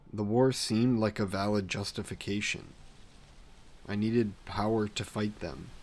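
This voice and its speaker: A man's voice: fairly low pitch, a little hiss or hum. A man voicing a brooding, remorseful vampire king. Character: grieving regretful vampire king, former ruler. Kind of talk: audio roleplay narration.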